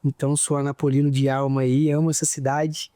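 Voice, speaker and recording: Man with a near-carioca Minas accent, mellow voice, dry close mic, earnest. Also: mid-range voice, little pitch movement, fairly quick, dry recording. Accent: Santos Dumont, Minas Gerais (near-carioca). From Minas Gerais, Brazil. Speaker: guest, engineer. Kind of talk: interview answers, storytelling.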